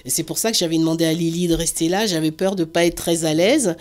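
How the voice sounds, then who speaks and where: A woman's voice: lowish voice, steady tone, quick pace, darkish tone. A woman from the Antilles talking in a reserved, hesitant way, often repeating "voilà" and "oui" as an interviewee. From French Antilles. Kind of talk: casual conversation.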